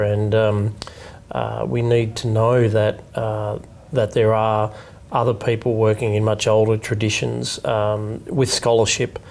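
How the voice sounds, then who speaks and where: A man's voice: fairly low pitch, creaky, a little mellow. A middle-aged man hosting a studio interview, speaking reflectively with um and uh fillers on a lapel mic. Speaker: think tank executive director, host. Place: studio set with dark curtains.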